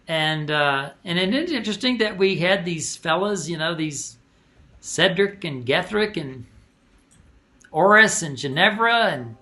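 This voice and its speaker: A man's voice: high-pitched, lively intonation, unhurried, pushed and bright. A man in casual conversation, commenting on a story as its author and co-host.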